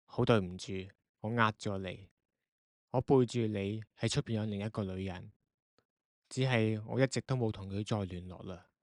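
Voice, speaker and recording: Radio drama husband male actor: low, earnest voice, evasive and remorseful, recorded close and dry. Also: moderate pace, dry recording, natural voice. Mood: confessing. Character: guilty, evasive husband confessing his secrets. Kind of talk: Cantonese radio drama acting.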